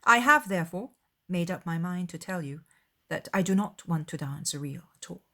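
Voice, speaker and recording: A woman's voice: on the low side, lively intonation, moderate pace, pushed and bright, exaggerated voice. Witty, spirited young lady, acted by a woman. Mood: playfully defiant. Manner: articulate, pointed, archly polite. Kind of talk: dramatised audiobook of a classic novel.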